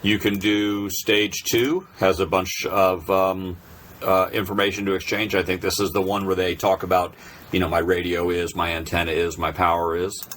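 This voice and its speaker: A man's voice: low voice, little pitch movement, normal speed, noisy background. A man narrating an informal, unscripted tutorial, explaining step by step in a hesitant way. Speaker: presenter of a software tutorial.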